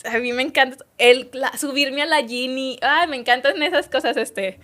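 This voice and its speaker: Woman guest, slightly high voice, lively anecdote-teller. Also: normal speed. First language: Spanish. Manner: lively storytelling, quotes others' words. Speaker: interview guest. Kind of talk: casual interview chat.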